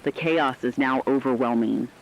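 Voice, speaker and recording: A man playing a grave radio news reporter, delivering a formal, measured emergency bulletin in a scripted drama. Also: medium pitch, natural voice, poor audio. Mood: grave, urgent.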